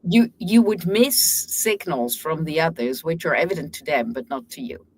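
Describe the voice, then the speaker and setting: A woman's voice: low-pitched, animated, normal speed, darkish tone, a little hiss or hum, rough recording. A woman whose first language is German, a guest lawyer telling frank workplace stories in a casual interview, with some restarts.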